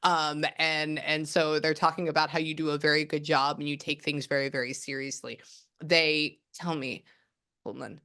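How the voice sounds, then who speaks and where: A woman's voice: deep voice, level tone, normal speed, sharp tone. A woman working as a psychic medium, chatting casually and rambling with lots of restarts. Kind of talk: casual reading on a video call.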